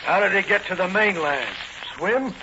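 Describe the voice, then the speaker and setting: An actor playing a sly, suspicious, slangy crook boss in 1940s radio style. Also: male voice, sits high, lively intonation, moderate pace. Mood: suspicious, needling. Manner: slangy, tough. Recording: scratchy, muffled 1940s radio recording.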